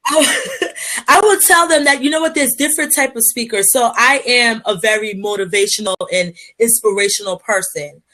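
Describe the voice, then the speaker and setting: A woman's voice: fairly high pitch, moderate pace, bright, forceful tone. A woman from Liberia, a public speaker, answering interview questions in an earnest, motivational way with frequent fillers and restarts.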